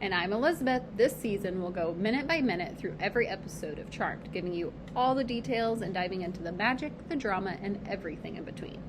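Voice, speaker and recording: Quick-talking woman podcast co-host. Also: medium pitch, animated, background noise. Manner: brief reactions, quick when reading the intro. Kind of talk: casual chat and scripted intro.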